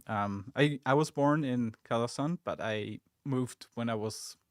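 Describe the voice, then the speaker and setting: A man's voice: mid-range voice, measured pace, no room sound, clean studio sound. Chatty young German man on a livestream. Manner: chatty and relaxed, with many fillers and asides. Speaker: social media community manager and stream host. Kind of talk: casual livestream chat reading viewer comments. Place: game studio office.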